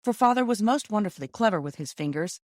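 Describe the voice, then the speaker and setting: A woman's voice: medium pitch, lively intonation, fast, a little mellow, dry recording, clean studio sound. A woman reading a children's novel aloud as scripted audiobook narration. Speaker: audiobook reader.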